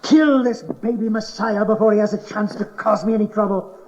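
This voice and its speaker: High-pitched raging tyrant king. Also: steady tone, moderate pace, darkish tone. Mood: cold, menacing. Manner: imperious, theatrical. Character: cruel, paranoid, raging king. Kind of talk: dramatized audio Bible acting. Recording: dull hissy cassette tape.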